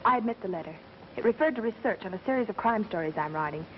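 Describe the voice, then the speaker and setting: A woman's voice: mid-range voice, expressive, fast, a little hiss or hum, lightly acted. A woman playing a poised, plucky, self-possessed career woman in a 1930s mystery film. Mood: defensive. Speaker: actress in a film. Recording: muffled 1930s film soundtrack with music.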